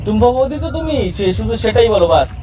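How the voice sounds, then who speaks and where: A man's voice: very high voice, sing-song, quick pace, dark tone, soft background music. A man making a prank phone call, teasing and suggestive, chuckling as he plays on double meanings. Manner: playful, suggestive, chuckling through his lines. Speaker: prank caller.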